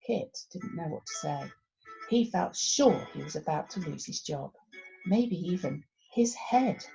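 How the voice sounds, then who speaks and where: A woman reads a children's story in an expressive storytelling style. Her pitch is very lively and her pace unhurried. The recording is a little dull, with music behind her. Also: vocal fry. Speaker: primary school story reader.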